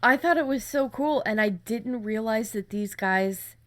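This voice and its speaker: A woman's voice: on the high side, unhurried. A woman co-hosting in casual chat, informal and thinking aloud as she goes. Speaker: writer and workshop co-host.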